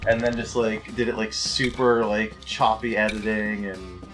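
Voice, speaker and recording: A man hosting a gaming video, chatting casually in a rambling, halting, foul-mouthed way while playing. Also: lowish voice, steady tone, fairly bright tone, distant mic, soft background music. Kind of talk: rambling casual let's play chat.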